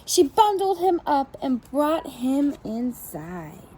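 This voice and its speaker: Preschool teacher lady reading a kids story, high voice, slow, chats to the kids as she goes. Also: sing-song, mellow, slight background noise, low-quality recording. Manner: pauses to comment and question the children. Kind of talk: animated picture-book storytelling for young children.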